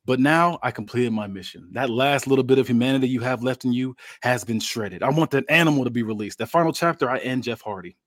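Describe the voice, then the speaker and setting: A man's voice: mid-range voice, quick pace, few pauses, no echo, studio quality, natural voice. Man acting out a cocky, theatrical wrestling villain. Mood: scornful gloating. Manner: taunting, theatrical, domineering. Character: cocky, sadistic, taunting male wrestling villain with fake remorse. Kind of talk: dramatic acted wrestling promo.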